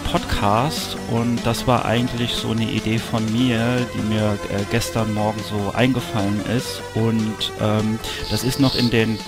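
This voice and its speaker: A gravelly-voiced man in his thirties hosting a casual podcast over an online call, halting as he searches for words. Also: on the low side, little pitch movement, over loud music. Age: 30s. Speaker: podcast host. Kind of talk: casual podcast talk, unscripted.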